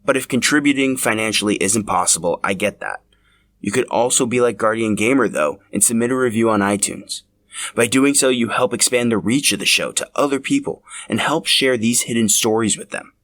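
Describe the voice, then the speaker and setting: A man's voice: lowish voice, moderate pace, a bit bright. A man narrating a scripted podcast as its host.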